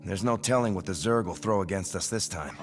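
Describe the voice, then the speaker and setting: An actor playing an earnest young ship's officer in a video game, formal and respectful. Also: male voice, sits low, fairly quick, natural voice. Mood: concerned. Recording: music behind the voice.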